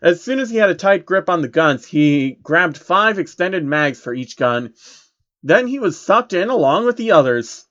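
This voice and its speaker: A man's voice: sits high, expressive, moderate pace, a bit bright, lo-fi sound. A man hosting and narrating a comedic fan fiction reading, with sarcastic asides. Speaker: channel host and narrator.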